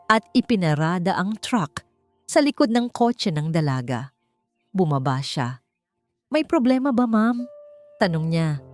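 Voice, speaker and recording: A woman's voice: fairly low pitch, darkish tone. A woman reading a scripted love story as a dramatic narrator. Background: faint music.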